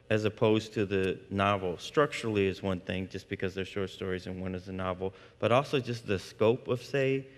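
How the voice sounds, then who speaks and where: A man in his forties interviewing an author on stage, asking long, thoughtful, rambling questions. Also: lowish voice. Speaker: onstage interviewer. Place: large theater stage. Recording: long hall reverb.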